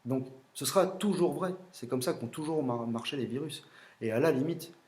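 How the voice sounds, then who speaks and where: Man, French accent, doctor, blunt opinionated monologue, mid pitch, echoey room. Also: moderate pace, a little mellow. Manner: blunt, opinionated, with frequent fillers. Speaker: general practitioner. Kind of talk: explanatory monologue.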